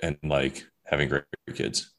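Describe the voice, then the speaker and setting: A man's voice: rumbling low, completely flat delivery, a little slow, fairly bright tone. A young man talking casually as a podcast guest, rambling with many fillers and restarts. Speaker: guest author.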